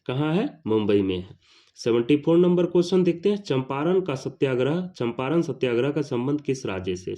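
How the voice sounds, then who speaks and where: A man's voice: mid-range voice, mellow. A man teaching an exam-prep lesson, reading out questions and answers in a didactic, repetitive way. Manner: didactic, repeats phrases for emphasis.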